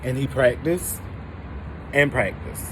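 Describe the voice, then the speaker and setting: A man reading a picture book aloud to children, pausing to put questions to his young listeners. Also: medium pitch, lively intonation, fairly bright tone, a little hiss or hum, rough recording. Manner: pauses to prompt young listeners. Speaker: storybook reader for children.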